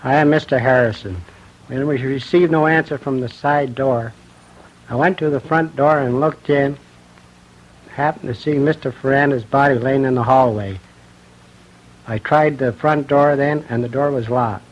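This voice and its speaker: A man's voice: medium pitch, normal speed. A middle-aged American man giving a plain-spoken eyewitness statement. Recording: dull old radio recording.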